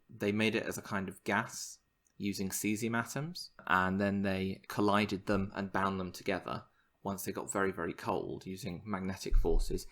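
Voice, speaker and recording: A man's voice: on the low side, a bit bright. A man co-hosting a podcast, explaining science news in a casual, enthusiastic way. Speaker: podcast co-host.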